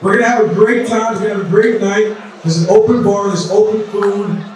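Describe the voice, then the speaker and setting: A man's voice: sits high, fairly even intonation, a little fast, darkish tone, a little hiss or hum. A man in his thirties, originally from South Sudan, emceeing a campaign event in loose, repetitive crowd-rousing talk. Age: 30s. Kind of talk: casual speech at a campaign event. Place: large indoor event hall. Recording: echoey hall, mic from far off.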